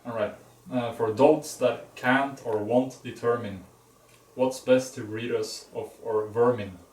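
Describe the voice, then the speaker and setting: Male amateur reader, slow and stumbling through old verse in a roomy, slightly distant recording. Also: medium pitch, steady tone, a little mellow. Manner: halting, checking unfamiliar words. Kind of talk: reading old verse aloud. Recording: echoey, distant microphone.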